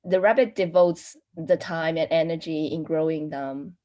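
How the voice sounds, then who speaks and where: A woman answering questions as a participant in a lesson, in a hesitant, halting way. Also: deep voice, warm tone. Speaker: read-aloud participant.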